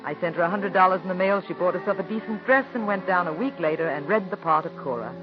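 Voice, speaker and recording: An actress in her thirties playing a calm, married playwright's wife who narrates, speaking in a poised, measured way in a 1940s radio drama. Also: on the low side, close to an everyday voice. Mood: reflective. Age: 30s. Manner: poised and measured, gently firm. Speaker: narrator and lead character. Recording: dull old radio broadcast with faint music.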